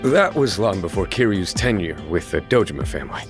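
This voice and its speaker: An actor playing a wry, casual fixer and guide in a video game dub, with a glib, teasing way of talking. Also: male voice, deep voice, very animated, fairly quick, over music. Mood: deferential. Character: breezy, wry male real-estate fixer and local guide.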